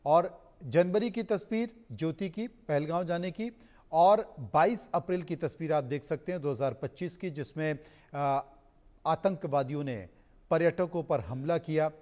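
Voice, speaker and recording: A man's voice: a little high, a little slow, a little mellow. A man anchoring live television news in an emphatic manner, repeating phrases for effect.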